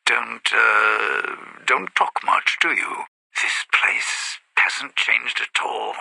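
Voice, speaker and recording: An actor playing a cynical, scheming ghoul lounge singer and smug con man in a video game, with a raspy, gravelly voice and wry, muttering showman's delivery. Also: medium pitch, very animated, extremely slow, bright, forceful tone, no echo, in a whisper, full character voice. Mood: sly probing, then sour muttering. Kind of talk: video game character voice lines.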